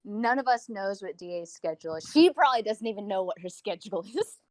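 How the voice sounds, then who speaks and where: Woman, very quick talker, teasing, wordy, casual podcast banter, dry recording. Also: mid-range voice, animated, dry recording. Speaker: podcast co-host.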